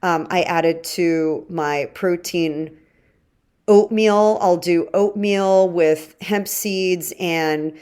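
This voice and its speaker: A woman with a fairly low voice hosts a podcast. She explains nutrition conversationally, addressing listeners directly with informal, emphatic phrasing. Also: drawn-out words, a little mellow, clear, resonant tone. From Egypt. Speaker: podcast host and chef.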